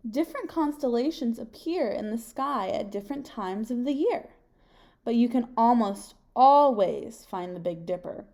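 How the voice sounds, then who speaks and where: A young adult woman reading a picture book aloud like a teacher, pausing and putting questions to her young listeners. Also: fairly high pitch, animated, mellow. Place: home kitchen, indoors.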